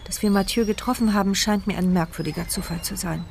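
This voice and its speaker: An anxious, desperate woman thinking aloud played by an actress in a radio play. Her delivery is intimate, brooding and intense, with a mid-pitched voice. Music runs clearly underneath. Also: steady tone, fast talker, natural voice. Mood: alarmed. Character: mute paralysed woman, anxious, desperate inner narrator. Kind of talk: radio drama inner monologue.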